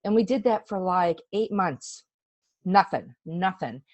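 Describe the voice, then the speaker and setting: Earnest woman with a lowish, dry-recorded voice. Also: lively intonation, a little slow, dry recording. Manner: earnest, emphatic, chatty. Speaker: testimonial speaker. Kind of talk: casual personal testimonial.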